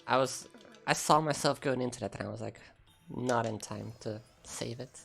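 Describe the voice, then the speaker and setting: A woman's voice: extremely low pitch, moderate pace, gravelly, fairly bright tone, faint music behind. A German woman with an American-sounding English accent, chatting casually and laughing often while commentating a speedrun of her game live.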